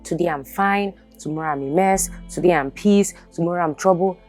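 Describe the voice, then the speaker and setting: A troubled, guarded woman played by an actress in a film drama, speaking earnestly in a lowish voice. She sounds emotionally weary, with soft music behind her. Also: a little fast, lightly acted. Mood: anguished, weary.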